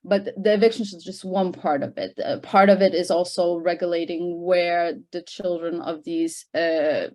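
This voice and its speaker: Female panel researcher, lowish pitch, explanatory and semi-formal, halting in places with emphatic key words. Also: steady tone, a little mellow. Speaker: panel speaker, researcher presenting report findings. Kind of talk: semi-formal spoken presentation at an online discussion.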